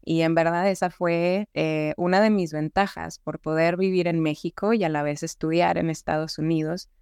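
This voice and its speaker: A woman's voice: low voice, fairly even intonation, normal speed, a little mellow, clean tone, dry recording, studio quality. A young woman from the Juárez border region, a podcast guest talking in a friendly, earnest way with many fillers. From Mexico, Juárez border region. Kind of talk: podcast interview.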